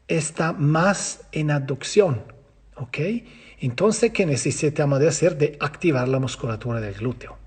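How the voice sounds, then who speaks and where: A man's voice: on the high side, husky. A man teaching physiotherapy in Spanish, which is not his first language, who keeps asking and answering his own questions. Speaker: physiotherapy lecturer. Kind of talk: teaching a course, explaining slides.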